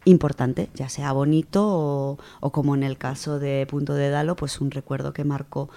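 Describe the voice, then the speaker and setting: A woman's voice: extremely low pitch, steady tone, normal speed, warm tone. A woman with a Spanish accent from Spain, chatting casually as a contributor to a radio book segment. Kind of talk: casual chat.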